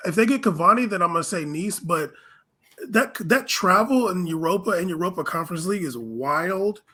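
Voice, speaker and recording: High, bright-voiced man chatting sports. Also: lively intonation, brisk. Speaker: podcast guest. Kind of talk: casual sports chat.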